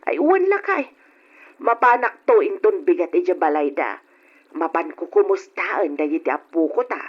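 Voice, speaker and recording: A woman's voice: lowish voice, very animated, moderate pace, husky. A woman playing an elderly, practical grandmother in a radio drama, fretful and firm with her husband. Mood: concerned. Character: elderly grandmother, concerned, practical, disapproving wife. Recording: dull lo-fi radio drama recording.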